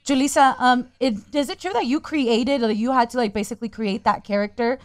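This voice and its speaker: A woman co-hosts the interview and asks questions quickly in an upbeat way. Her voice is smooth, a little high and crisp. The studio sound is dry. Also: no echo. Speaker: radio co-host. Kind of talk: casual interview chat.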